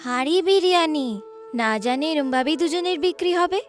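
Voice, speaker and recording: A woman's voice: high voice, normal speed, soft background music, pitched above the performer's own voice, natural voice. A woman voicing an eager young daughter in a cartoon story, pleading and full of ideas in a childlike way.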